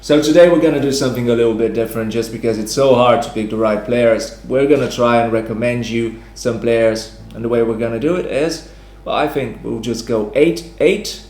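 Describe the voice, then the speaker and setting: A man hosting a casual sports chat with a slightly hoarse voice. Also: mid-range voice, fairly quick, hardly any pauses, a little mellow. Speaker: host. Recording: roomy echo, music underneath.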